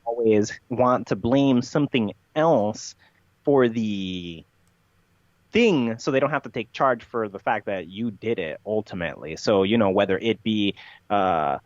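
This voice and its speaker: A man's voice: lowish voice, a little slow, no echo. Guy on a podcast explaining stuff, sure of himself, stop and start, dry muffled lo-fi sound. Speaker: podcast guest. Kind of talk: conversational interview, expounding ideas.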